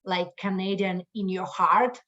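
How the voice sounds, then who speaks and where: A woman's voice: medium pitch, steady tone, measured pace, a bit bright. A woman with a Russian accent presenting as a guest over a video call, friendly and joking, laughing often. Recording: dull, lo-fi video call audio.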